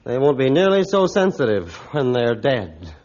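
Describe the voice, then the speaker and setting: A man playing a grim, realistic ship's captain in a formal, deliberate, old-time radio drama style. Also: mid-range voice, big pitch swings, a little mellow, lightly acted. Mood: stern, resolved. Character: grim, realistic male captain of an icebound ship. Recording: dull, band-limited old radio recording.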